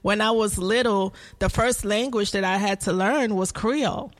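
A woman's voice: medium pitch, normal speed. A woman in her forties, a nursing instructor, co-hosting a casual chat, speaking earnestly and laughing often. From United States.

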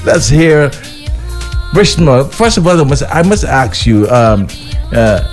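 A man's voice: mid-range voice, very animated, soft background music. A man hosting a casual interview, often stumbling and repeating words as he asks questions. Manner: repeats words, halting restarts. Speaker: radio or online show host.

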